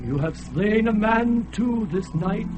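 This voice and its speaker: Radio drama: suffering ancient blind god, high and slow, under music. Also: male voice, animated. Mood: mournful. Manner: slow, anguished, mournful. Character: ancient blind tortured elephant-headed god, male.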